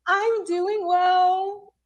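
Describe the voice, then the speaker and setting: A woman's voice: extremely high pitch, flat delivery, extremely slow, highs cut off. A woman in her thirties from the Bay Area, asking for relationship advice in a hesitant way, full of ums and likes. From San Francisco Bay Area. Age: 30s. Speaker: caller seeking advice.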